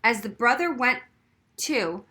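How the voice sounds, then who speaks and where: A woman narrates a children's novel aloud. Her voice is bright and forceful, sitting a little high, and she tells the story expressively. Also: expressive, slow pace. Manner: narrates expressively, acting out dialogue. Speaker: audiobook reader.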